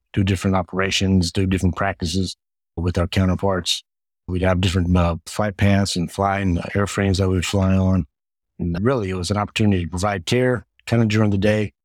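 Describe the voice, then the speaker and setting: A man with a very deep voice, Hungarian his first language, answering interview questions in a steady, methodical way. He strings his points into long lists and often repeats words as he goes. The sound is close and dry. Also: dry recording. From United States. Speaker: guest, retired Air Force medic.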